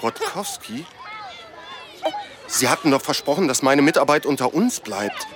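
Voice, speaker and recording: A man's voice: a little high, very animated, measured pace, a crowd in the background, background noise. An actor playing a meek, anxious young father, polite and hesitant, in a satirical radio play. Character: meek, anxious young father, polite subordinate under his wife's thumb.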